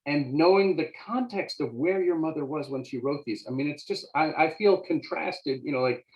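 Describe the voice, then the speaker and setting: A man's voice: fairly high pitch, moderate pace, darkish tone, lo-fi sound. A man moderating a book discussion, asking questions earnestly and often stammering as he restarts his sentences. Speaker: interviewer, moderator. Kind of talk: conversational interview.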